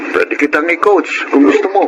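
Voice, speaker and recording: An actor playing a friendly, encouraging boxing coach in a radio drama, with a hearty manner and a Filipino accent. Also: male voice, on the high side, moderate pace, lightly acted. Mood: reassuring. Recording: noisy radio drama audio.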